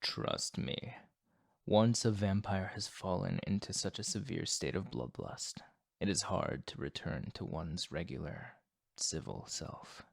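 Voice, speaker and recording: A man playing a courteous, tormented vampire fighting his bloodlust in an ASMR roleplay, speaking formally and apologetically in a soft, intimate way. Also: sits low, very animated, unhurried, creaky, no echo, clean studio sound, full character voice. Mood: grave, warning.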